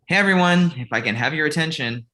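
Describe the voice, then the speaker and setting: A man's voice: fairly high pitch, lively intonation, quick pace, sharp tone. A man playing a pushy, earnest, easily flustered filmmaker in a comedic table read.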